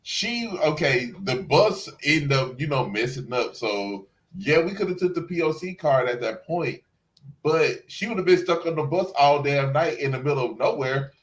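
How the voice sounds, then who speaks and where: A man's voice: fairly high pitch, animated, moderate pace, low-quality recording. A man co-hosting a casual review chat, talking in an emphatic, sassy, salty-tongued way. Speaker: review show co-host.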